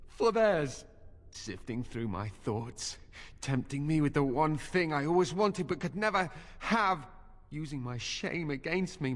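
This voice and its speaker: A young man acting a tormented, exhausted, defiant templar prisoner in a fantasy video game, anguished and halting. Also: high-pitched. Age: young adult. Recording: echoing reverb, game music behind.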